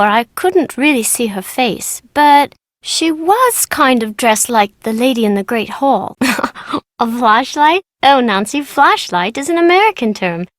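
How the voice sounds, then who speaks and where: A woman's voice: high-pitched, dry recording, studio quality. A woman voicing a playful, mischievous British schoolgirl in a video game, chatty and childlike. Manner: childlike, informal, bargaining. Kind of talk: video game character dialogue.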